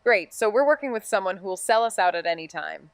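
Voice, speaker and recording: A woman's voice: mid-range voice, expressive, fast, clean tone, dry recording, lightly acted. An actress playing a blunt, sardonic young woman detective's sidekick in a noir audio drama, casual, skeptical and quippy. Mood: skeptical, wry.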